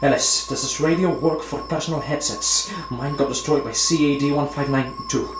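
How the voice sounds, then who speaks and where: A man's voice: medium pitch, moderate pace, background noise, natural voice. An actor playing a frightened man trapped in a secret facility, in a sci-fi horror audio drama. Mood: urgent, questioning. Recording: echoey room, music underneath.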